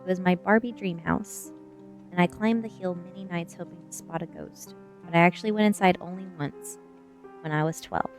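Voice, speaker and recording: Low-voiced woman reading a story over music. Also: normal speed. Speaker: streamer reading aloud. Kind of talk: ASMR reading of a young adult novel, storytelling narration.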